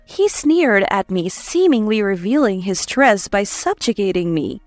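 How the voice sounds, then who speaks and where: A woman reading a first-person dramatic story as narrator, in an expressive, emotive way. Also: medium pitch, measured pace, no echo. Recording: dry close voice over faint music. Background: soft background music.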